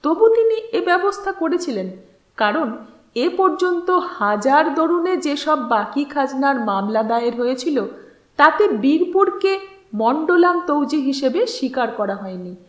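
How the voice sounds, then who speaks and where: A woman with a notably high voice narrates literary fiction at an unhurried, slow pace. Her reading is measured and expressive, with deliberate pauses between phrases. The sound is roomy, with clear echo around her voice. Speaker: audiobook narrator. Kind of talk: scripted dramatic storytelling narration of a literary novel. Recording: roomy, echoing voice recording.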